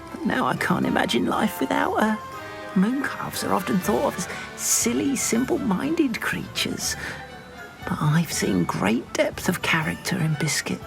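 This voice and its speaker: An actor playing a timid, sentimental goblin in a video game, with a plaintive, fretful way of talking. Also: male voice, high-pitched, creaky, heavily acted, low-quality recording. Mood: fond, wistful. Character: timid, anxious, sentimental goblin doting on his pet. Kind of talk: video game character acting.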